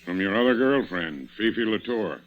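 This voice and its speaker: An actor playing a shrewd, brisk, sardonic police inspector in an old-time radio suspense drama. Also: male voice, fairly low pitch, lively intonation, narrow, tinny audio, slight background noise, lightly acted. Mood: hard-nosed. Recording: vintage radio broadcast recording.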